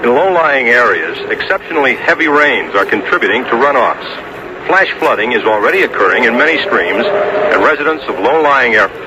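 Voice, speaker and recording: A man's voice: medium pitch, big pitch swings, moderate pace, lightly acted. An actor playing a radio newsreader, a man delivering a formal, grave scripted bulletin. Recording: noisy vintage radio broadcast.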